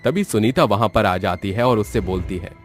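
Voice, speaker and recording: Lowish-voiced male horror story narrator. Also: a little fast. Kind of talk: scripted horror cartoon narration. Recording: background music under the voice.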